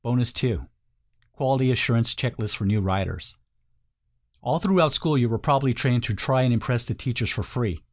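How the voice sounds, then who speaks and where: A man's voice: mid-range voice, normal speed. A man narrating a scripted sales voice-over, speaking directly and persuasively to the listener. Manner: direct, persuasive second-person address.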